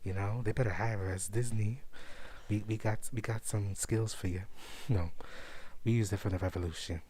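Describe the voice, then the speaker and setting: A man hosting an ASMR-style news show, talking softly in a put-on posh British voice and laughing often. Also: on the low side, fairly even intonation, normal speed, a little hiss or hum.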